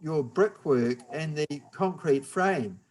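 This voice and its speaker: A man with a New Zealand accent giving a guest lecture on building structures, explaining slowly and patiently over a video call. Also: fairly high pitch, expressive, a little mellow. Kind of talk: university lecture, slow and deliberate.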